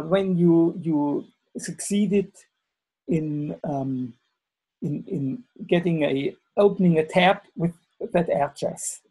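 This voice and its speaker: A man with a German accent giving a webinar talk over a video call, halting and full of fillers and restarts. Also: sits high, warm tone, breathy edge, poor audio. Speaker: webinar speaker, university lecturer. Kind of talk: hesitant presentation on a video call.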